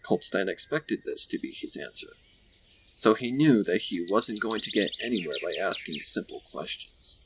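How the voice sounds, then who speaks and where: Male story narrator, fairly low voice, deliberate dramatic phrasing, faint noise behind. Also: sing-song, fairly bright tone. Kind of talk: scripted fan fiction story narration. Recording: faint background noise with birdsong.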